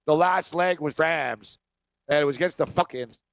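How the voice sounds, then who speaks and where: A man's voice: a little high, normal speed, vocal fry, no room sound, muffled recording. A man hosting a sports betting talk show, chatting casually, laughing often and tripping over his words.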